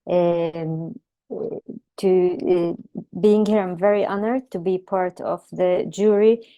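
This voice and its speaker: A woman's voice: lowish voice, steady tone, slow, mellow. A woman from Palestine giving formal thanks and remarks as a member of a jury.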